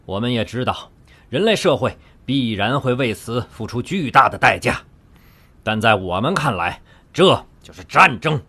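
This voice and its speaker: Male actor as militant statesman, husky, widely swinging pitch, declamatory, defiant, radio drama. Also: very animated, moderate pace, heavily acted. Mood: impassioned, resolute. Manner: declamatory, forceful oratory. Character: defiant, hawkish male Russian delegate urging war. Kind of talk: audio drama speech.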